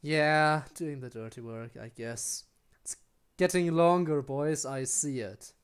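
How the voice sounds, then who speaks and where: A man's voice: on the high side, takes it slowly, clean tone. A man streaming a video game with casual commentary and wry, muttered asides to himself. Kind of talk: casual live gameplay commentary.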